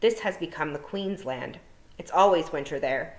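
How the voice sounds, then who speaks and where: A woman's voice: deep voice, moderate pace, close to an everyday voice. A woman voicing a gloomy male toad in a storybook, speaking in clipped, terse warnings. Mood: grim. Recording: roomy echo.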